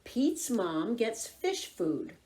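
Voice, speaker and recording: Female children's story reader: expressive picture-book narration, slow and deliberate, highly varied intonation. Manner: expressive, deliberate, clearly phrased.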